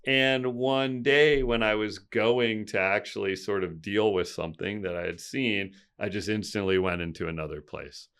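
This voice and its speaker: A man in his fifties with a deep voice, a podcast guest speaking candidly and reflectively, often pausing to restart his sentences. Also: fairly even intonation, a bit bright, smooth. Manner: reflective, open, with halting restarts. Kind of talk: candid conversational storytelling.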